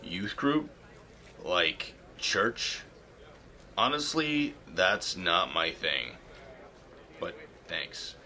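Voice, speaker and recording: Guy doing a sullen sarcastic high school jock, low voice that swings around a lot, audio drama. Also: big pitch swings, very slow, long pauses, bright, forceful tone, heavily acted. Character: surly, cynical teenage high school football player.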